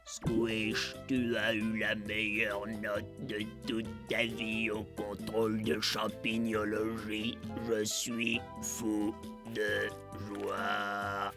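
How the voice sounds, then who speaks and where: A man voicing a solemn male cartoon schoolteacher in a French dub, with slow, flat delivery. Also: fairly low pitch, gravelly, exaggerated voice. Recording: loud music behind the voice.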